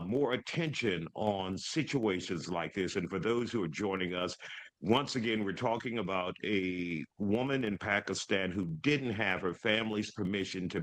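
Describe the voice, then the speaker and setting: A man's voice: fairly low pitch, a bit bright. A man hosting a television news interview, speaking emphatically as he puts questions to his guest. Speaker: TV news show host.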